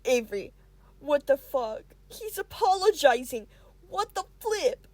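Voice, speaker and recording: A woman's voice: high voice, sing-song, a little slow, darkish tone, no room sound. A woman voicing an anxious, flustered young male student who rambles in a frantic way, for a story reading. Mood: panicked.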